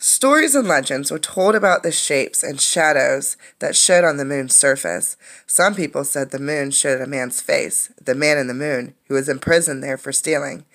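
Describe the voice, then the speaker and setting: A woman's voice: extremely low pitch, a bit bright. A woman reading a children's science book aloud like a teacher, explaining things as she goes. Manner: explanatory, pausing to comment and ask questions.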